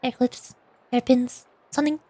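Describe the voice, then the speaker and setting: A woman's voice: sits high, little pitch movement, moderate pace, mellow, no room sound. A woman livestreamer chatting casually about a game in a bubbly, girlish manner. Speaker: streamer playing and voicing game lines. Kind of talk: casual livestream chat and commentary.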